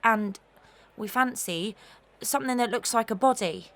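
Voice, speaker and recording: A woman's voice: a little high, measured pace. A woman playing an earnest young rustic villager reporting on a search in a Victorian audio melodrama. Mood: urgent reporting.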